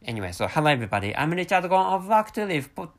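A man's voice: fairly high pitch, sing-song. A man in his thirties from Japan with a Japanese accent, hosting a rambling solo podcast in English, his second language, with many halts and restarts. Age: 30s. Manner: halting, many restarts and repeated words. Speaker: podcast host.

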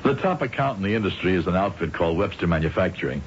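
Casting: anxious, deferential middle-aged company man, low-pitched, old radio drama, dull recording with music behind. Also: lively intonation, quick pace, slight background noise. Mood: uneasy, resigned. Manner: hesitant, deferential with his boss. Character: anxious middle-aged salesman, deferential, uneasy, haunted by memory. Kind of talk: old-time radio drama acting, with narrated inner thoughts.

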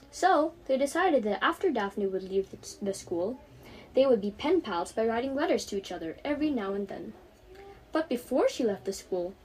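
A teenage girl reading her own story aloud as an expressive storybook narrator. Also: medium pitch, rough recording.

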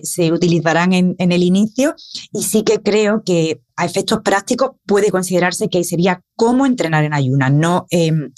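A woman's voice: fairly low pitch, a little mellow, no echo, studio quality. A woman hosting a podcast interview, asking questions in a chatty, enthusiastic way. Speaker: podcast host. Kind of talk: casual interview talk.

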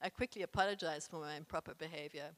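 A young woman from South Africa with a South African accent and isiXhosa as her first language, reading her own essay aloud in an earnest, proud, deliberate way. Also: sits low. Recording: echoey room with long reverb.

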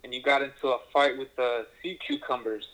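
A man's voice: mid-range voice, a little fast, a bit bright, low-quality recording. A man guest in a casual chat, rambling loosely with plenty of fillers and swearing. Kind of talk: casual chat with an old friend.

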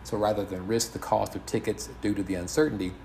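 The low-voiced man you'd hear on a homemade podcast, talking haltingly and informally over slight noise. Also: steady tone, creaky, darkish tone. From United States. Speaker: podcast host. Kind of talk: informal solo podcast monologue. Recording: audible background noise.

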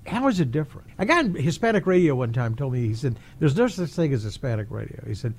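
A man's voice: mid-range voice, big pitch swings, quick pace, a little mellow, husky. A man hosting a radio interview, chatting loosely with ums and restarts.

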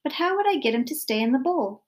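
A patient, indulgent storybook mother, played by a woman narrator, genteel in manner. Her voice is fairly high and lilts with plenty of movement. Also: lively intonation, quick pace. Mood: delighted. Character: patient, indulgent housewife mother with lofty interests.

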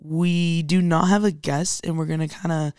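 Casting: young woman, podcast co-host, raspy recovering voice, slangy and animated, recorded close and dry. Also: moderate pace, dry recording. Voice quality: somewhat deep voice for a woman. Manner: animated, slangy, self-deprecating. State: recovering from a lost voice. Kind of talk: casual podcast chat.

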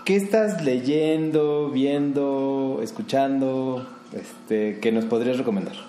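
A man's voice: medium pitch, slow pace, warm tone. A man hosting an interview in a casual, chatty way, often hesitating and restarting his sentences. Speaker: podcast host, interviewer. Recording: echoey room, faint ambient noise.

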